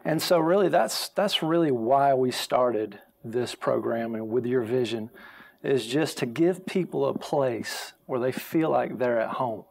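A man in his thirties with a gravelly, creaky voice of middling pitch. He talks earnestly like a pastor on a studio talk show, close on a lapel mic. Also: measured pace, darkish tone. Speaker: pastor, programme guest. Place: TV studio, seated on a sofa.

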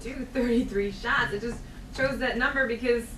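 Woman acting brash teasing coffee shop worker, highish voice, echoey distant recording with crowd. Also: steady tone, normal speed, fairly bright tone, lightly acted. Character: brash, teasing thirty-three-year-old female barista. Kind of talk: comedy script table read. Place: small theatre stage, seated before an audience. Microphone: far camera. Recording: echoey distant stage recording, audience noise.

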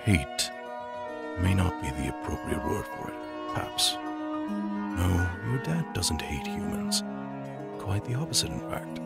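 A man voicing a weary, scholarly skeleton scientist father in a comic dub, speaking formally and deliberately, often breathily. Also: on the low side, huge pitch swings, creaky, heavily acted. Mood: thoughtful. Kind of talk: dramatic comic dub acting. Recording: loud music behind the voice.

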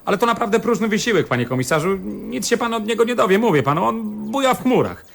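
A man's voice: high voice, big pitch swings, fast, vocal fry, heavily acted. A man acting in a radio play as a meek, flustered eyewitness, hesitant, stammering and deferential. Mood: confiding.

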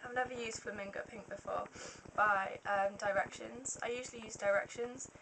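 A young woman in her twenties chatting through a shopping haul to her webcam, slightly croaky, rambling with lots of ums. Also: mid-range voice, steady tone, moderate pace, sharp tone, far from the mic, a little hiss or hum, rough recording. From Wales. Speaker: beauty vlogger. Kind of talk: casual haul video. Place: small room indoors. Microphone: webcam at close range.

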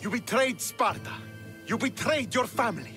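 An actor playing a bitter, proud young Spartan commander in a video game, speaking in a scornful, commanding, clipped way. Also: male voice, very high-pitched, animated, normal speed, music in the background. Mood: angry, accusing. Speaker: military commander. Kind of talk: dramatic video game cutscene acting.

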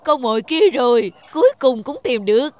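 Woman voicing a menacing, high-pitched hungry cartoon fox. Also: lively intonation, moderate pace, noisy background. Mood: gloating. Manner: menacing, gloating. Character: hungry, scheming, impatient predatory fox. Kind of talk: animated cartoon dialogue.

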